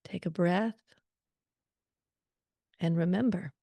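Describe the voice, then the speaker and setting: Woman, meditation guide, very slow pace, soft-edged mellow tone, gentle pauses, calm and soothing. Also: fairly low pitch, gravelly, no echo.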